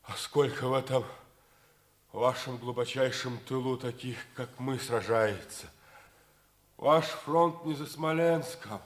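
An actor playing a defiant, steadfast captured Soviet lieutenant in a 1950s radio play, quietly resolute. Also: male voice, medium pitch, fairly even intonation, slow pace, reverberant, lightly acted. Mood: quiet defiance.

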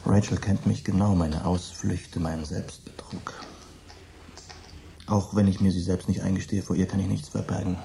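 A man's voice: flat delivery, normal speed, vocal fry, slight background noise. An actor playing a guilt-ridden man in a radio drama, intense and brooding. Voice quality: low dark voice, intense and brooding. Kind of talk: radio play acting.

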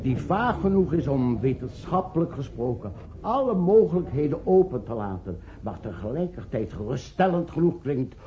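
A man acting an earnest, diplomatic professor in a Dutch radio play, speaking in formal, measured oratory. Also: mid-range voice, big pitch swings, dark tone, slight background noise, close to an everyday voice. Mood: conciliatory. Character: earnest, diplomatic professor pleading with scientific colleagues. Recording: dull, lo-fi old radio recording.

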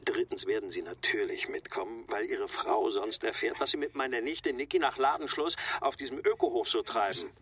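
Bright, forceful scheming boss played by a man. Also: medium pitch, brisk, few pauses, a little hiss or hum, natural voice. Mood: smug, menacing. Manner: brusque, condescending, commanding. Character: scheming, blackmailing boss, a fanatical crusading uncle. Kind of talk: German radio drama acting.

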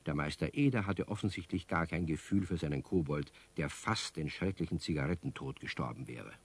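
A man acting as the wry storyteller of a children's radio play, narrating with a husky voice. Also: extremely low pitch, lively intonation, fairly quick, heavily acted. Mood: wry storytelling.

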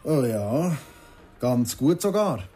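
An actor playing a polite, curious wanderer in a Swiss German radio drama, courteous and sprinkling in French phrases. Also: male voice, medium pitch, big pitch swings, takes it slowly, mellow, faint music behind. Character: polite, curious male wanderer seeking a guide.

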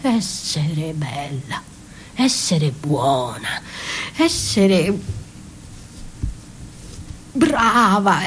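An actress playing a battered woman left for dead in a theatrical radio monologue, fragile and desperate, speaking in halting fragments and repeated words that slide into whispers. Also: mid-range voice, a little hiss or hum. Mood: mournful, dazed.

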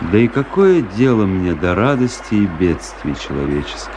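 An actor playing a wry, melancholy army officer who narrates his story in a measured, reflective radio-play style. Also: low voice, sing-song, noisy background. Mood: resigned, detached. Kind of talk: dramatic literary radio narration.

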